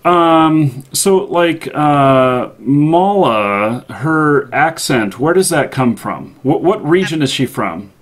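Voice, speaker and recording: A man hosting a casual live-stream interview, good-humored and halting as he puts questions to a guest. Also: on the high side, expressive.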